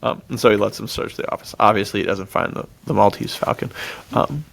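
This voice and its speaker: A man's voice: lowish voice, fairly quick, gravelly, a bit bright. A man co-hosting a podcast, retelling film plots in a casual, enthusiastic and slangy way. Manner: enthusiastic, chuckles as he talks, slangy. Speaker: podcast co-host.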